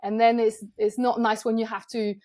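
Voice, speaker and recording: A woman's voice: on the high side, level tone, normal speed, a little mellow. A woman from France with a French accent, a photographer guest chatting casually in a podcast interview, with frequent ums and restarts.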